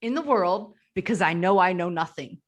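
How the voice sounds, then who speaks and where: A woman's voice: on the low side, moderate pace. A woman in her forties hosting a candid, casual conversation, talking openly with plenty of fillers. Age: 40s. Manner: candid, animated, with frequent fillers. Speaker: podcast host.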